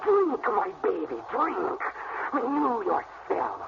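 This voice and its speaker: A woman playing a doting, sinister old granny of a vampire family in a radio horror drama, with a creaky voice. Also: a little high, huge pitch swings, slow pace, heavily acted. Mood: sinister coaxing. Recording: very noisy, dull radio drama recording.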